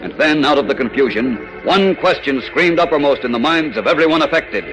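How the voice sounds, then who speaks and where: A man's voice: a little high, animated, brisk, a bit bright, rough recording. A man acting as the grand, urgent announcer of a 1940s superhero radio serial, with emphatic delivery. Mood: dramatic. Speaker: announcer and narrator. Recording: old radio recording, music behind.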